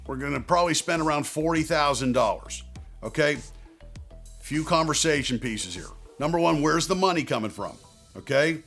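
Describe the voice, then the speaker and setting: Higher-pitched male financial advisor over music. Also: animated, moderate pace, fairly bright tone. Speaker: financial advisor and video host. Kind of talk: direct-to-viewer advice talk.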